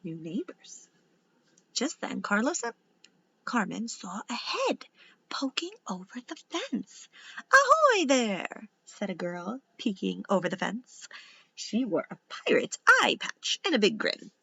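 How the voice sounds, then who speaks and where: A woman reading a children's storybook aloud like a teacher, expressive and deliberate, with dramatic emphasis on key words. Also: mid-range voice, gravelly, breathy edge, muffled recording.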